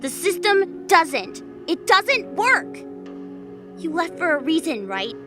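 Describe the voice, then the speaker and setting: Woman acting a timid, high-voiced young goddess. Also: very animated, slow pace, full character voice. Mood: pleading. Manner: hesitant, pleading. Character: timid, anxious, gentle young feathered goddess, protective. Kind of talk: dramatized audio fiction acting. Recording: music behind the voice. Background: music.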